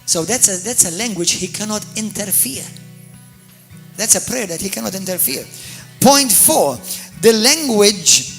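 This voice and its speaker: Male pastor, animated sermon storyteller, high bright voice, soft music behind. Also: measured pace. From Malta. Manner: animated storyteller, repeats and restarts words, addresses the congregation directly.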